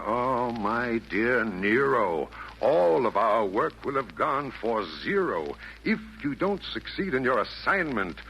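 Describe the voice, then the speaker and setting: A grandiose male fiend, theatrical and coaxing, with a bright forceful voice that swings widely. He speaks in rhyme as in 1940s radio comedy, over faint recording noise. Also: on the low side, heavily acted, rough recording.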